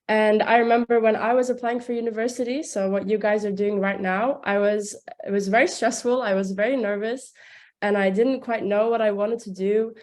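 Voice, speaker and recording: A woman's voice: mid-range voice, fairly even intonation, normal speed, darkish tone. Chatty young woman, student from Turkey. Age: young adult. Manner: chatty, uses "like" a lot. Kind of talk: casual student talk.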